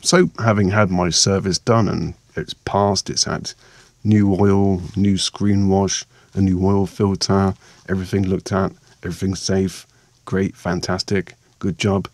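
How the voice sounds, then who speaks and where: Croaky male vlogger, lowish voice, dry close sound, rambling casual narration. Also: level tone, measured pace, a little mellow, no echo. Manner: chatty, rambling, self-mocking. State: hoarse, croaky voice from a sore throat. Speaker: vlogger and meditation maker.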